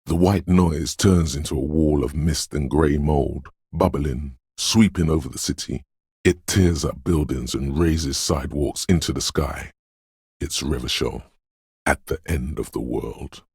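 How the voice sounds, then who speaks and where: A man voicing a detective's brooding, mystical intuition in a video game, with a deep, gravelly voice and slow, ominous, dreamlike delivery. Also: darkish tone, no echo, clean studio sound, full character voice. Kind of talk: scripted video game narration in second person.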